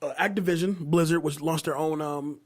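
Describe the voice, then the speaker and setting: Man, high-pitched voice, podcast host, casual, emphatic, profane, moderating a panel. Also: steady tone, a little fast.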